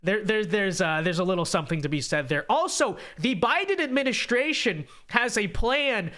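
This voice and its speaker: Young man, congested, high-pitched, bright voice, animated stream host, stammering restarts. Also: normal speed. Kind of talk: live-stream political commentary.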